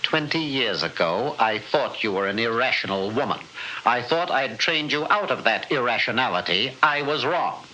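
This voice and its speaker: Radio horror role: cold middle-aged scientist, theatrical and contemptuous, very animated pitch, noisy old recording. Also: male voice, moderate pace, muffled recording. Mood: scornful. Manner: imperious, scornful, theatrical. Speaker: actor in radio drama. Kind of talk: 1960s radio horror acting.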